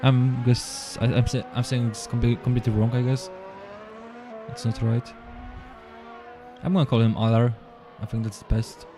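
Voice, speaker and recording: A man's voice: medium pitch, fairly even intonation, over music. Polish-accented man commentating sim racing. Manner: halting, repeats words, apologetic. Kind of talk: live esports race commentary.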